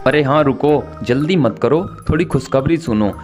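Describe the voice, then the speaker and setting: The kindly farmer bird of a children's cartoon played by a man, earnestly thanking his little sister over soft background music. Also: medium pitch, moderate pace, lightly acted. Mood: pleased. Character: good-natured, grateful male bird, big brother farmer. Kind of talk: animated children's story dialogue.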